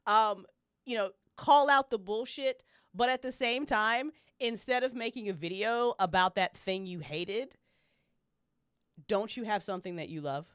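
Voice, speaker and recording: A woman's voice: mid-range voice, unhurried, a bit bright, smooth, no room sound. Female podcast panelist, mid-range voice, emphatic and slangy, freely profane, casual fan talk, dry recording. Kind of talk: casual opinionated fan chat.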